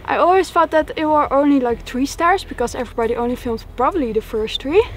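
High-voiced young woman, chatty hiker. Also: fast. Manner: chatty, enthusiastic, uses "like" a lot. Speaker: hiking vlogger. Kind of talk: casual travel vlog chat. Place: outdoors on a mountain trail. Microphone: camera held at arm's length.

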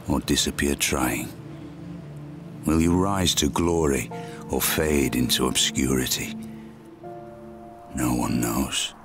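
Brief: man, very low voice, slow and grave trailer narration, heard over loud orchestral music. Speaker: game intro narrator. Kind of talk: solemn scripted narration.

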